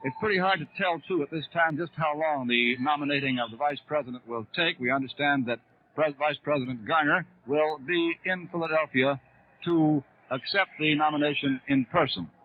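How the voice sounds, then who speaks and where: An American man giving formal, measured live radio commentary as a news announcer at a 1930s political convention, on an old radio broadcast. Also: a little high. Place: convention hall broadcast position. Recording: scratchy, narrow-band 1930s radio broadcast.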